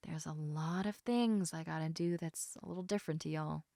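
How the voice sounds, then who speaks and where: A woman voicing an affectionate, teasing half-snake lamia in a casual, intimate audio roleplay, chatting informally with halting, trailing thoughts. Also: sits low, gravelly, dark tone, no echo, clean studio sound. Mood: mildly exasperated.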